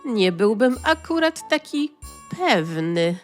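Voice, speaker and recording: A woman's voice: a little high, big pitch swings, slow, soft background music. A woman voicing a cheerful little boy detective with a playful way of talking. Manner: playful, quiet aside.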